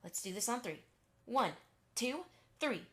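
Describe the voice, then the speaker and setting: A high-pitched, excitable mother pony from a cartoon-style audio drama, acted by a woman. She is fussy and bossy, giving brisk little instructions as she herds her family. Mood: fussy, hurried. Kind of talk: amateur audio drama acting.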